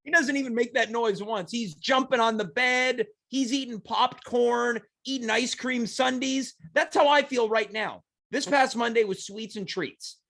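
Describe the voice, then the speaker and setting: A man's voice: very high-pitched, a little slow, creaky, hardly any pauses, pushed and bright, shouting. A man hosting a casual comedy talk show, joking and rambling playfully while congested with a cold. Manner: playful, rambling, self-mocking jokes.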